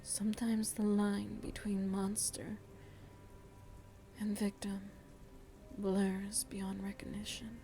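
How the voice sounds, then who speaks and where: Woman as a slow, whispery, seductive vampire. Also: mid-range voice, flat delivery, soft background music. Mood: musing, intimate. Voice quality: soft, breathy, often dropping into whisper. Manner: slow, deliberate, musing, addresses listener tenderly. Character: seductive motherly female vampire, worldly, philosophical, gently teasing. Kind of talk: ASMR roleplay, intimate storytelling to the listener.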